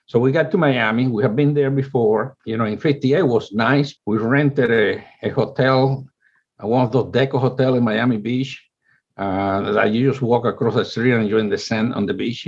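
A man's voice: medium pitch, husky. A man in his seventies, born in Cuba, speaking English as an interview guest, telling his life story in a rambling way with many restarts. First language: Spanish. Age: 70s. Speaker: guest, company chief executive. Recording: dull, lo-fi audio.

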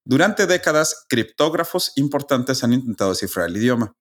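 A man's voice: mid-range voice, sing-song, fairly quick. A man, hosting a casual podcast and methodically explaining facts step by step, often restarting his phrases. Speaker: podcast host.

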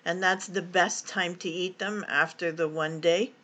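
Man, interviewer, bright voice, a bit high, short follow-up questions. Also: fairly even intonation, a little slow. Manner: brief affirmations, follow-up questions. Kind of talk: casual interview.

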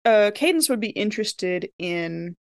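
A woman's voice: mid-range voice, lively intonation, a little slow, no echo. A woman playing a tabletop role-playing game, thinking aloud in casual speech as she plans what her character will do.

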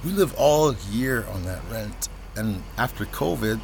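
A man playing a hesitant, apologetic landowner in an audio drama, talking in a halting, low-key, indirect way. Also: expressive, creaky, a little hiss or hum. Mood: defensive, weary. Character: hesitant, apologetic male landowner asking for money. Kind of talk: naturalistic audio drama dialogue.

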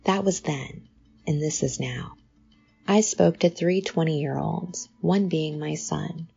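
Middle-aged woman hosting a podcast, low and a bit gravelly, earnest scripted read. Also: slow, warm tone, muffled recording, faint music behind. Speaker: podcast host.

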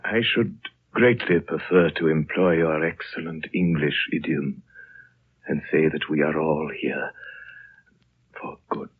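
A very deep, hushed old holy man speaks with grave serenity. His phrases come very slowly and formally, frail yet all-knowing. It is a muffled, noisy old radio drama recording. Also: steady tone, husky, full character voice. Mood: gentle, grave. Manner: slow, solemn, formal, courtly phrasing. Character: ancient, serene, all-knowing male High Lama of a hidden monastery. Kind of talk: 1930s radio drama acting.

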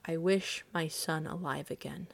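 A woman's voice: low voice, steady tone, measured pace, gravelly, no echo, full character voice. A woman voicing a fearful, weary old husband who speaks unsteadily and falters in a story reading.

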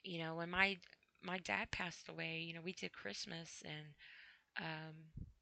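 Tired low gravelly woman rambling. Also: fairly even intonation, bright, forceful tone, no room sound. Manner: rambling, halting, apologetic, frequent fillers. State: tired, low after crying. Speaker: podcaster. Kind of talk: casual rambling monologue. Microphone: computer mic with headphones on.

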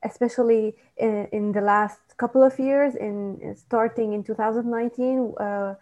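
A woman's voice: on the high side, fairly even intonation, moderate pace, darkish tone, lo-fi sound. A woman from Lebanon with a Lebanese accent, a native Arabic speaker, answering interview questions earnestly and with some hesitation over a video call. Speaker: student ministry coordinator.

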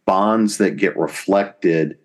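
A man with a deep, low voice speaks as an interview guest. He explains things conversationally and deliberately, pausing mid-sentence as he shapes each thought. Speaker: podcast guest.

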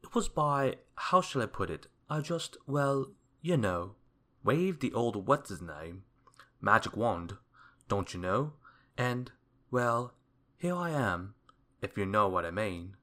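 A man's voice: fairly low pitch, very animated, slow, a bit bright, heavily acted. A man voicing a wordy, dithering but kindly old wizard from a storybook, rambling politely and hesitantly. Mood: dithering, genial.

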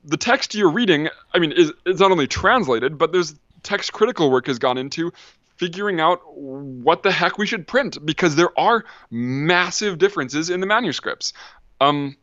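A man's voice: on the high side, animated, a bit bright, no echo. Guy on a podcast rattling off history stuff, lots of ums, dry muffled sound. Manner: quick, thinking aloud with many ums and restarts. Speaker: podcast guest, ancient historian. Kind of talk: casual academic discussion.

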